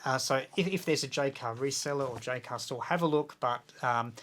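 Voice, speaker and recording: Male interview guest, Australian accent, middle-pitched voice, keen hands-on explainer in relaxed conversation. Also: a little fast. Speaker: guest, amateur radio video maker and author. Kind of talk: casual interview, explanatory chat.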